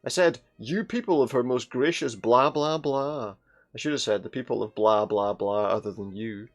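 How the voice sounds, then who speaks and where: A man's voice: medium pitch, moderate pace. A British man commenting on a game, reading the on-screen text aloud with sarcastic, sweary asides.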